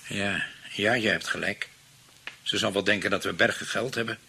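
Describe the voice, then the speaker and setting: An actor playing a greedy, falsely pious scheming husband in a radio play, with theatrical delivery. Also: male voice, on the low side, normal speed, gravelly, sharp tone, slightly rough, heavily acted, low-quality recording.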